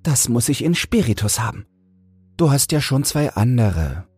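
A man playing a cheerful young male student who banters in a lively, educated way in a storybook. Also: medium pitch, normal speed, breathy edge, dry recording, voice pushed up. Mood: amused.

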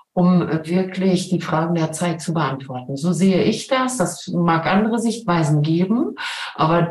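Middle-aged german-born woman, slow and thoughtful, lots of ums, roomy echo. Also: on the low side, a little mellow. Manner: reflective, many hesitations. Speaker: professor, podcast guest. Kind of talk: casual interview talk. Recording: echoey room.

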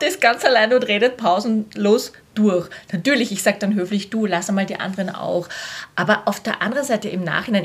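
A woman with an Austrian accent in a casual podcast conversation, speaking candidly as a teacher, with blunt slang and acted-out quotes. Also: medium pitch. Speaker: language teacher.

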